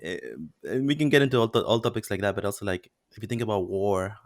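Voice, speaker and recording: A man's voice: fairly low pitch, quick pace, no room sound, studio quality. A man from Peru hosting a podcast, chatting casually with many fillers and restarts. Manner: rambling, many fillers and restarts, laughs often. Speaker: podcast host. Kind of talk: casual interview chat.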